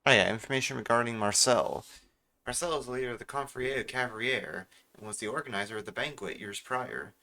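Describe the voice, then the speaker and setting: A man's voice: medium pitch, quick pace, bright, forceful tone, rough recording. A man streaming a game with casual, playful commentary, sometimes reading choices aloud.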